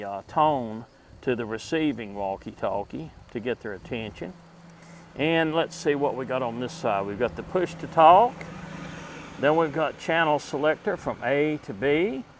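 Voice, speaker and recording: A middle-aged man presents an item in a casual, unhurried show-and-tell. His pitch sits in the middle range and he speaks haltingly, pausing as he goes. He is recorded outdoors, with faint ambient noise behind him. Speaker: host of a vintage gadget video series. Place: outdoors at a table in a wooded yard.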